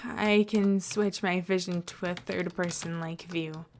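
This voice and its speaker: A woman's voice: lowish voice, fairly even intonation, measured pace, a little hiss or hum. A woman reading the part of a nervous, reluctant ordinary man in a superhero audio story. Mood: sheepish.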